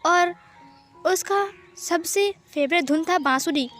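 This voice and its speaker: Female narrator as earnest young rumour-teller, high-pitched, insistent, repetitive, dramatized story with music. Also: takes it slowly, fairly bright tone, heavily acted. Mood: eerie, confiding. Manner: insistent, repeating his claims.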